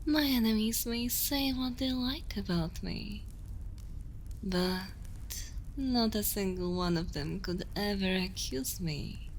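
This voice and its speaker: Evil queen for ASMR drama, female performer: very unhurried, hushed, commanding, coolly amused, seductive menace, faint room noise behind. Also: medium pitch, low-quality recording. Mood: cold, blunt. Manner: slow, deliberate, hushed delivery slipping into whispers; commanding, condescending, teasing. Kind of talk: ASMR roleplay, intimate dramatic monologue.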